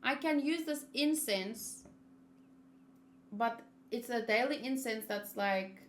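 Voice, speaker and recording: A woman's voice: a little high, lively intonation, slow pace, a little hiss or hum. A woman chatting informally on a gaming livestream, reacting aloud as she talks. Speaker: streamer. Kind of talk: casual livestream chat while gaming.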